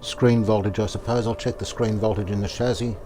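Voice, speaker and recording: A man's voice: on the low side, a little fast, over music. A man gives casual hands-on repair commentary, thinking aloud in a tentative way. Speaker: hobbyist radio restorer presenting a repair video. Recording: steady background noise.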